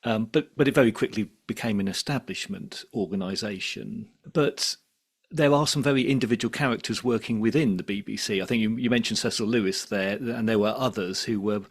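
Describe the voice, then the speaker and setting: A man hosting a podcast interview, putting his questions in a measured, conversational way. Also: fairly low pitch, slightly rough.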